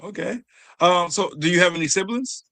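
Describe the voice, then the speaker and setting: A man's voice: sits high, moderate pace, gravelly, fairly bright tone, dry recording. A man with an American accent chatting informally and affirmingly as he hosts an interview. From United States. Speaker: podcast host. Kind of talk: casual interview chat.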